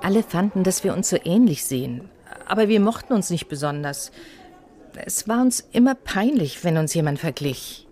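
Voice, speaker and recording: An actress playing an uneasy, withdrawn wife who speaks curtly in a radio crime drama. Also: female voice, lowish voice, lively intonation, moderate pace, dark tone, lightly acted.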